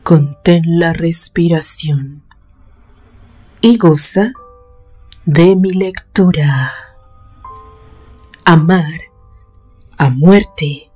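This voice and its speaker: A woman narrating a suspense audiobook in a dramatic, deliberate way, pausing for effect. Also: fairly low pitch, dark tone, husky, faint music behind. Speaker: narrator, channel host.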